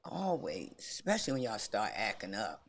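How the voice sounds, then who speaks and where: A woman's voice: very animated, moderate pace. A middle-aged woman, chatting casually with her sons on a podcast. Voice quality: husky, deep. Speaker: podcast guest, mother of the host. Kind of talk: casual family chat.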